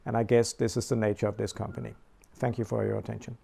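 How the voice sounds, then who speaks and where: A man's voice: lowish voice, little pitch movement, fast talker, vocal fry, mellow. A man, a silver manager giving a tour of a workshop, hesitant and full of fillers. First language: Danish. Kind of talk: guided tour talk.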